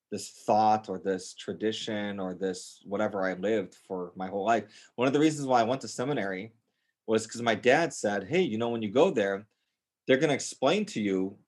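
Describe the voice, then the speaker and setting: An American man speaking as a podcast guest in a casual interview, informal and enthusiastic, often repeating words for emphasis. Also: fairly low pitch. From United States, north of Chicago. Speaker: podcast guest, Greek Orthodox priest.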